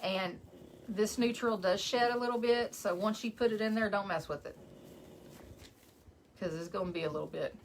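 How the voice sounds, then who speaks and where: A woman's voice: mid-range voice, moderate pace, pauses often, a little hiss or hum. A woman with a West Texas accent hosting a live crafting stream from home, chatting casually and greeting viewers by name as she works. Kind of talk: casual chat while crafting.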